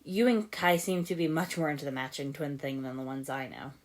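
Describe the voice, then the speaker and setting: A woman's voice: sits low, brisk, natural voice. Woman reading as young ninja boy, polite, earnest, audiobook character. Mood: polite, surprised. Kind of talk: fan fiction audiobook character voice.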